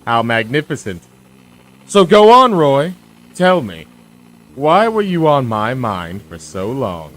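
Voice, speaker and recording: A man's voice: mid-range voice, very animated, fairly bright tone, low-quality recording. An actor playing a grandiose, taunting male villain in an audio drama, drawing out his words with menace. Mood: menacing, mocking. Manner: theatrical, taunting, drawn-out delivery.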